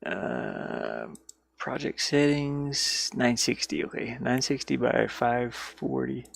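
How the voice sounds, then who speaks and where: A man giving casual, easygoing devlog commentary as an indie game developer, halting and thinking out loud while he works. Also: medium pitch, animated, gravelly. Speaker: indie game developer showing his own game.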